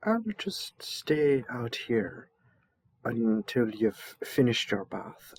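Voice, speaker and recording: A man's voice: on the low side, no echo. A man playing a flustered, polite envoy mistaken for a servant, speaking hesitantly with stammers and deference in a roleplay audio drama. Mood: awkward, hesitant.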